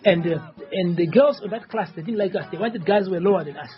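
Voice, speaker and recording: A man's voice: high voice, fast, dull audio, soft background music. A man in his forties, originally from Tanzania, answering interview questions as a presidential candidate in a rambling, insistent way and often repeating himself. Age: 40s.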